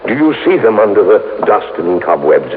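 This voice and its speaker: An actor in an old radio drama playing an eccentric, boastful older recluse who speaks in halting, repetitive, excitable bursts with mumbled hums. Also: male voice, lowish voice. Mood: proud, obsessive. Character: eccentric reclusive retired horror-film maker, squat castle owner. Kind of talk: 1950s radio drama acting. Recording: muffled, noisy old radio recording.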